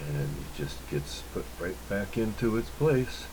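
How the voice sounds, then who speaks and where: Deep-voiced man at a workbench. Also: sing-song, background noise. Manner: unhurried, muttering to himself while working. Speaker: electronics repair hobbyist, channel host. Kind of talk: casual hands-on workbench demonstration. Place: indoor electronics workbench.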